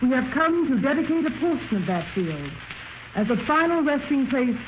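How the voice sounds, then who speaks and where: A woman reciting formally in a measured, declamatory manner on 1930s radio. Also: high-pitched, sing-song. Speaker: actress demonstrating microphones. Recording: noisy muffled 1930s radio recording.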